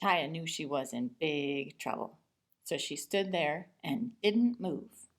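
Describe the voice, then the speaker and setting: A woman reading a children's picture book aloud as an expressive storyteller, with deliberate emphasis. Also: low voice.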